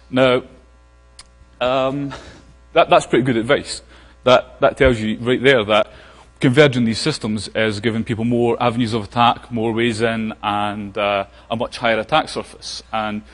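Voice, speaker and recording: A man with a Scottish accent giving a casual technical conference talk, full of fillers and restarts. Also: mid-range voice. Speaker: conference speaker. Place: large conference hall. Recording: long hall reverb.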